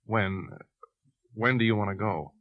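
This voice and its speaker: Actor as a low, earnest American suspense protagonist. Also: male voice, a little slow, lightly acted. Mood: guarded, hesitant. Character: earnest, troubled brother-in-law narrating a suspense story. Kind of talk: 1950s radio drama acting with narration. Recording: dull 1950s radio recording.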